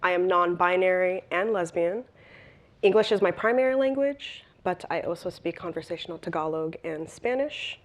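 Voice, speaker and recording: A woman with a somewhat low voice introduces herself on a panel. She speaks directly and with confidence. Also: normal speed. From Los Angeles, US.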